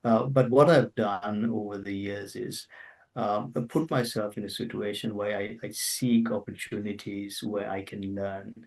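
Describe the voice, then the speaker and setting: A middle-aged man with a low, gravelly voice, talking a little away from his microphone. He answers an interviewer's questions, stopping often to restart and fill gaps. His delivery sounds like a remote interview guest. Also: steady tone, unhurried, a little mellow, far from the mic, rough recording. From Zambia. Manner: hesitant, many restarts and fillers.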